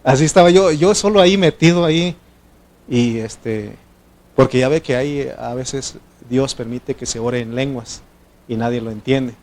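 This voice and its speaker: A preacher from Mexico, whose first language is not Spanish, addresses his congregation in a lively sermon. He swings from raised, urgent exhortation down to soft, low asides, at an ordinary middle pitch for a man. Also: moderate pace. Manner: animated, swinging between loud exhortation and quiet asides.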